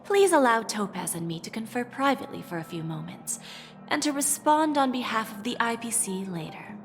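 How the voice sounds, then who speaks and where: An actress playing a calmly calculating corporate negotiator in a video game, measured, courteous and composed. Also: female voice, a little high, music in the background. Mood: composed, formal.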